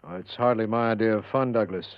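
An actor playing a polite, formal district attorney with an American accent and a businesslike manner, in 1950s radio drama style. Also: male voice, fairly low pitch, fast, no echo, close to an everyday voice. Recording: muffled old radio drama recording.

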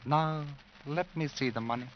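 A male crime boss, played by a radio actor, speaks in a fairly high voice with deliberate, silky politeness and quiet menace. The mid-century drama recording is muffled, with faint noise. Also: natural voice. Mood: coolly menacing. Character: suave, shrewd Chinese-Irish crime boss in a border club. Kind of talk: 1950s radio drama acting. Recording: dull, noisy vintage radio recording.

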